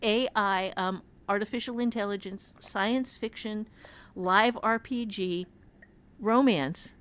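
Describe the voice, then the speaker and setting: A woman speaks as an author in a casual podcast chat. She tells things reflectively and haltingly, searching for the next phrase as she goes, with a mid-pitched voice. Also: a bit bright, smooth. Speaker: author and writing teacher.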